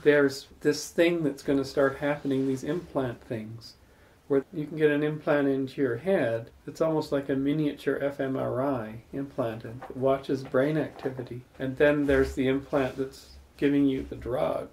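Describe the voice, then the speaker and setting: A man hosts a casual salon in a living room and spins long, thoughtful stories. His voice has a gravelly texture and a dark, mellow tone, and he is picked up from across the room. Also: medium pitch, steady tone, moderate pace, slight background noise. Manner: thoughtful, rambling, builds long spoken stories. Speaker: salon host. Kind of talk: reflective storytelling in casual group talk.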